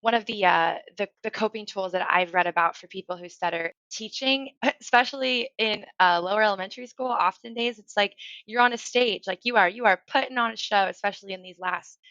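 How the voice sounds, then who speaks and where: Stuttering woman with a bright voice. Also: mid-range voice, brisk, hardly any pauses. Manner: stutters, with repeated words and restarts. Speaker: elementary school teacher, guest. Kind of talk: casual podcast conversation. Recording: dull lo-fi audio.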